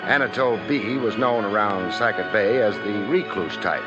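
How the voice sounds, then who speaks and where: A man's voice: fairly low pitch, normal speed, natural voice. Man playing a wry radio detective, music behind. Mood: wry narration. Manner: wry, casual, clipped wisecracks. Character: wisecracking, laid-back male private detective. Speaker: detective lead and first-person narrator. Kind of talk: 1950s radio drama acting, hardboiled narration. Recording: dull old radio recording.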